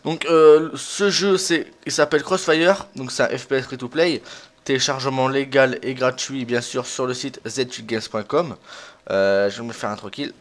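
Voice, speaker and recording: A young man talks over a video game as its host. His voice sits at a medium pitch. He is informal and self-deprecating, rambling loosely through his commentary. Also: steady tone, normal speed. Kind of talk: casual let's play commentary.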